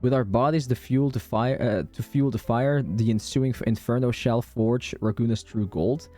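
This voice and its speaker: A man's voice: medium pitch, normal speed, warm tone, faint music behind. A man streaming a game playthrough, commenting in an offhand, wry way.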